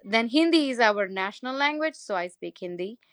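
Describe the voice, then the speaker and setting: Higher-voiced lady singer, explains things with emphasis, dry close sound. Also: animated, moderate pace, no room sound. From India. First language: Gujarati. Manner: emphatic, explanatory, spells words out. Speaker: guest singer. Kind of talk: interview conversation.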